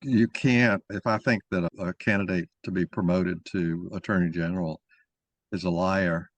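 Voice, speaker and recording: A man arguing a point in informal discussion, halting and rephrasing as he goes as the moderator. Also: low voice, fairly even intonation, no echo. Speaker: moderator and main speaker of a mock-trial discussion.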